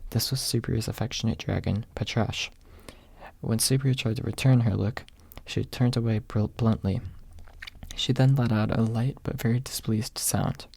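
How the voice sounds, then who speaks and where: Husky, creaky man reading softly. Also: on the low side, fairly even intonation, moderate pace. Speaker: audiobook narrator. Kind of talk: ASMR audiobook reading. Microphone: close microphone, dry.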